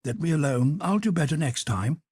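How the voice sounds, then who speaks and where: A man's voice: on the high side, animated, brisk, darkish tone, slightly rough, no room sound, clean studio sound, pitched above the performer's own voice. Simple-minded young lad, voiced by a man. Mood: naive.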